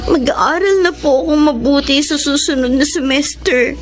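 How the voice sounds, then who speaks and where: An actress plays a young adult daughter in a radio drama, a repentant college student with a high voice. She sounds sweet, pleading and respectful, with soft music behind her. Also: normal speed, close to an everyday voice.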